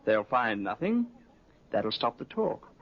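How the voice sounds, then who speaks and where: An actor playing a sly, confident, needling investigator in an old radio drama. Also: male voice, fairly low pitch, very animated, slow pace, full character voice. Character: sly, needling, confident man prodding suspects and police. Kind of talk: 1950s radio drama acting. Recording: muffled vintage radio recording.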